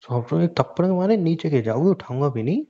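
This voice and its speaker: A man's voice: fairly high pitch, expressive, fast, dark tone. A man playing a childish, clingy husband who whines, teases and cajoles his wife in a naturalistic audio drama. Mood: mock annoyed. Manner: whiny, cajoling, playfully stubborn. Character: childish, clingy, teasing husband wanting pampering. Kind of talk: naturalistic Hindi audio drama of couple banter. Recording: dull lo-fi audio.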